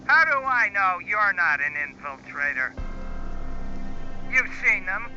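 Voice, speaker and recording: A paranoid, eccentric male scientist in a sci-fi game. He speaks in a high, taunting, suspicious voice. The sound is dull, with music behind it. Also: big pitch swings, slow pace, bright, forceful tone, full character voice. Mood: suspicious, testing. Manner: suspicious, mocking. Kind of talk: dramatic game voice acting.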